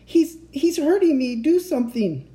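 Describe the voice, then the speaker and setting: A reader putting on a scared young storybook kid in danger. The voice is pitched high, urgent and pleading. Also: big pitch swings, moderate pace, dark tone. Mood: panicked pleading.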